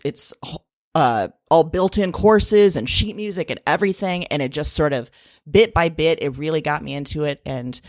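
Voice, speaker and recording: A woman's voice: low voice, moderate pace, no room sound, studio quality. A woman in her forties co-hosting a podcast, chatting casually and laughing easily. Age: 40s. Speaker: podcast co-host. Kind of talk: casual chat.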